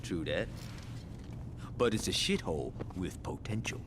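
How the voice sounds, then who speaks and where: Brief: brash, profane gang lieutenant, low-ish voice that swings up and down, cocky game cutscene delivery. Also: male voice, lively intonation, unhurried, background noise. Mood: scheming. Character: brash foul-mouthed male gang lieutenant. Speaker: game character.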